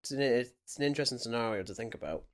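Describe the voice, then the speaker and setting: A man's voice: mid-range voice, animated, quick pace. Musing man in casual film chat. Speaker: film podcast co-host. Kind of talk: casual movie commentary chat.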